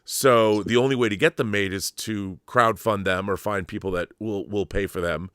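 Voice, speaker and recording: Low-voiced man in earnest chat. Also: fairly even intonation, a bit bright, clean tone, no echo, studio quality. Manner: halting, repeats words and restarts often. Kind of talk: casual enthusiastic interview chat.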